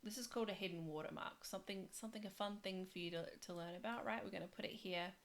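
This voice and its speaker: A woman teaching design software in a casual, rambling way, full of fillers and restarts, her voice going hoarse. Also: medium pitch, quick pace. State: losing her voice. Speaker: design tutor. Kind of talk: casual screen-share tutorial.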